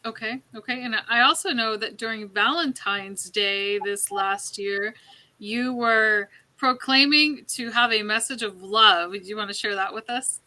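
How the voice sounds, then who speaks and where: A woman's voice: a little high, unhurried, sharp tone, low-quality recording. A woman interviewing a guest in a conversational way as a talk show host.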